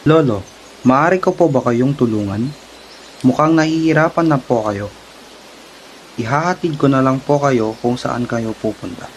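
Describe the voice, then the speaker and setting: A man's voice: mid-range voice, normal speed, long pauses, slight background noise, natural voice, low-quality recording. A man acting a polite, helpful young traveler in a children's puppet show.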